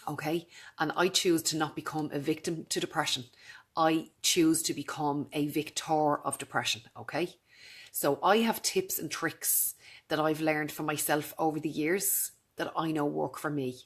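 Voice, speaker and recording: Low-voiced woman, earnest and direct. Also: a bit bright, slightly rough. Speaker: vlogger. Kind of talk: casual talk to camera.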